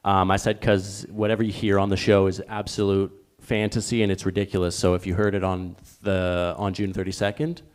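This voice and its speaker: A man chatting casually on a live stage as a comedic guest, rambling in a halting, repetitive way. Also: deep voice, flat delivery. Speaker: guest podcaster and performer. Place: live stage in small venue.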